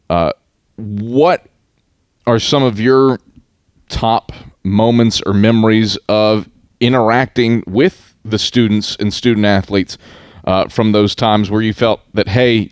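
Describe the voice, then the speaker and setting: Guy hosting a laid back podcast chat, lowish voice, very dry close sound, pauses to think as he goes. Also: no room sound. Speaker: podcast host and interviewer. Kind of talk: casual conversational interview.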